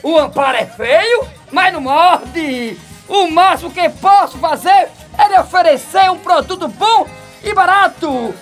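Old market huckster in a brazilian radio soap, northeastern accent, super high voice, loud patter. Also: male voice, animated, unhurried, faint music behind, exaggerated voice. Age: older. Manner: loud street-vendor patter, exclamatory. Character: cheeky old male market hawker of quack remedies. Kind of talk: radio soap opera acting.

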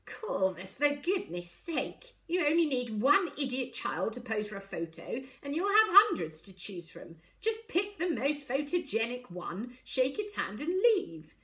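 A woman's voice: fairly high pitch, lively intonation, a little slow, fairly bright tone, roomy sound, voice pushed up. A woman narrator puts on a formidable old grandmother from a storybook. She is haughty and savage, speaking in scornful, imperious swoops as if everyone around her were a fool. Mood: exasperated.

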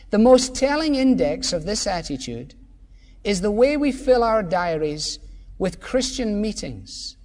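A man's voice: high-pitched, darkish tone, in a large hall. A man preaching a sermon as pastor, emphatic and full of rhetorical questions.

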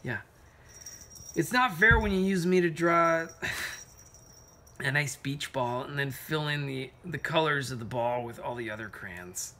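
A man's voice: a little high, animated, measured pace, long pauses, pushed and bright, full character voice. Man as a sulky, resentful crayon. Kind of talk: children's picture book read-aloud.

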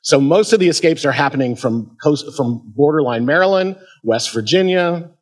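Male history lecturer, middle-aged, American accent, voice a little higher than average, addressing a conference audience. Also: lively intonation, moderate pace. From American Midwest. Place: auditorium at a museum, at a podium.

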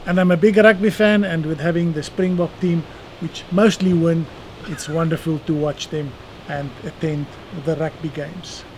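South African man in his sixties, measured. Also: on the high side, fairly even intonation, poor audio. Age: 60s. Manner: measured, dry self-deprecating humor. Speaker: eye surgeon guest. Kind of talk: seated interview answers. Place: outdoor terrace by the sea. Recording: outdoor noise behind voice.

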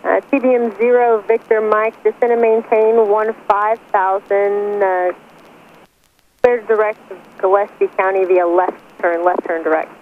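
A woman working as an air traffic controller, giving clipped clearances over aviation radio. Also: medium pitch, steady tone, measured pace. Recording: thin, narrow aviation radio with static.

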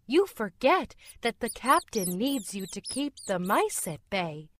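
Woman as female storybook pirate cat, earnest, coaxing, pleading, slightly high, very animated. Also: a little slow, a little hiss or hum. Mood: exasperated, earnest. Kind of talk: children's storybook read-aloud.

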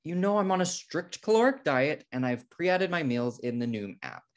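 A man's voice: on the high side, big pitch swings, normal speed. A young American man playing an uptight, fussy schoolteacher brother in a comedy table read. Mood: exasperated. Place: indoor room, video call.

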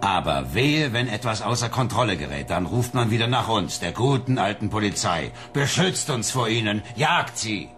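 A man playing a gruff, world-weary police inspector in a radio drama, blunt and sardonic. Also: lowish voice, expressive, normal speed, few pauses, fairly bright tone, a little hiss or hum. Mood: sardonic, grim.

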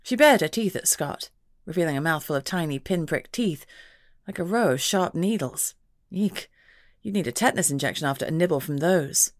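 A woman with a fairly low voice reads first-person fantasy fiction as an audiobook narrator. The recording is very dry, with no room around her. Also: expressive, normal speed, no room sound.

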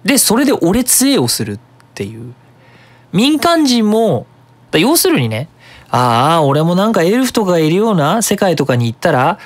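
A man's voice: mid-range voice, no room sound. A man hosting a web radio show, talking casually in a chatty, colloquial, explanatory manner.

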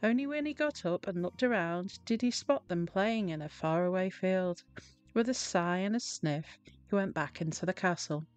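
A woman narrating a children's storybook in a deliberate, expressive way. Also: fairly low pitch, faint music behind. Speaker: storybook reader.

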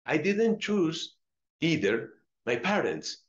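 A middle-aged man from Colombia with a Spanish accent, a friar teaching a religious lesson, reading aloud and explaining deliberately, repeating phrases for emphasis. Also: on the high side, very animated, muffled recording. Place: small indoor room with curtains.

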